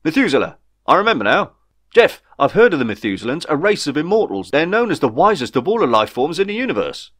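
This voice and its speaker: Man acting storybook shopkeeper, male, emphatic and colloquial, medium pitch, close dry sound. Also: big pitch swings, a little fast, fairly bright tone, no room sound. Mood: excited. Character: gloomy bearded human shopkeeper, matter-of-fact and easily excited. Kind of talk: storybook character dialogue.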